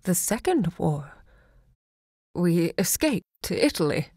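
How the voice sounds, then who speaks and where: An actress playing a grand, impassioned woman who hosts a literary salon, looking back in deliberate, theatrical monologue. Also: fairly low pitch, very animated, dark tone, breathy edge, no room sound, clean studio sound, full character voice. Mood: defensive. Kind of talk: theatrical audio drama monologue.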